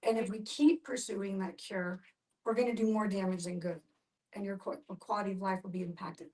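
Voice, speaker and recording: A woman explaining things to a discussion group in a measured, instructive way. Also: medium pitch, fairly even intonation, a little mellow. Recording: distant from the microphone.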